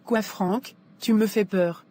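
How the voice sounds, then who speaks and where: A woman playing an earnest, discreet wife in a cartoon moral drama, speaking in a measured way. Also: mid-range voice, fairly even intonation, dark tone, a little hiss or hum, natural voice. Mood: anxious. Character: earnest, discreet married woman, anxious and sincere. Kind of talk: animated moral drama dialogue. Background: music.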